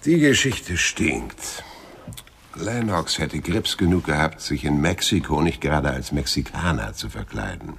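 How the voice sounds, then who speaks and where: An actor playing a cynical, world-weary male private detective in a radio crime drama, rough-voiced, dry and laconic. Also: rumbling low, big pitch swings, a little slow, fairly bright tone, a little hiss or hum, heavily acted. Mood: defiant, sardonic. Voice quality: husky, rough. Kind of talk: radio crime drama with first-person narration.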